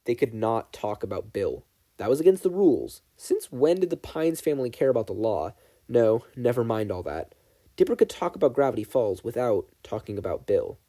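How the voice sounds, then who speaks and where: A man narrating fan fiction from a script in an expressive storytelling style. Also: medium pitch, normal speed. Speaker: podfic narrator.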